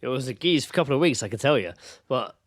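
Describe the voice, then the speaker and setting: A man's voice: mid-range voice, animated, racing, dry recording, studio quality. A man with a southern English accent co-hosting a podcast, telling comic anecdotes casually and repeating himself for emphasis. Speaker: podcast co-host.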